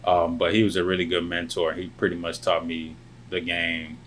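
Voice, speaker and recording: Guest: young man, very deep voice, soft-spoken, faint air-con hum behind. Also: completely flat delivery. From born in Lebanon, family from South Sudan, raised in the US. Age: young adult. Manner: soft-spoken, measured, trailing off at phrase ends. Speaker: guest, college football player. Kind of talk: casual interview answers.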